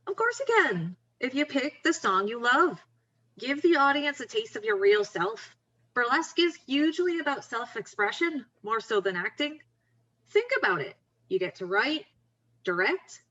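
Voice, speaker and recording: A woman's voice: on the high side, bright, forceful tone, lo-fi sound, heavily acted. A woman playing a blunt, confident, wry young friend in a screenplay table read, speaking frankly and coaxingly. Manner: frank, coaxing, plain-spoken.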